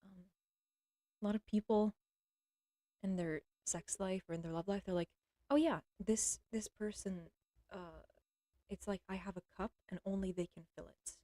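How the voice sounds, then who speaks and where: A young woman talks straight into her streaming mic from home, wandering off track and interrupting herself. Her voice is a little throaty, and she clears it often. The sound is close and dry, with no room echo. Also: medium pitch, steady tone, moderate pace, long pauses, warm tone. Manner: rambling, self-interrupting, blunt and crude. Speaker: livestreamer. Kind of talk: casual rambling monologue. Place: at home with a cat.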